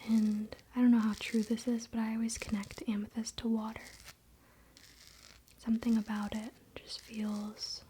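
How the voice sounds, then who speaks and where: Woman, unvoiced breathy whisper, mellow, informal ASMR chat, close-miked, faint background noise. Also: a little high, monotone. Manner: unhurried, soft-spoken, informal. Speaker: ASMR creator and crystal seller.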